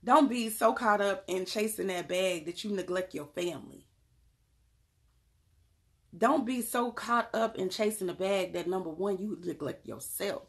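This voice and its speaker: A Southern woman from North Carolina, talking straight to her livestream viewers with a gravelly, creaky edge in her voice. She is emphatic and blunt, with casual cussing as she preaches self-worth and reads out chat questions. Her pitch sits in a middle range. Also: a little slow. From Charlotte, North Carolina. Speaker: livestream host and tarot reader. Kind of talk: casual livestream talk, answering chat messages.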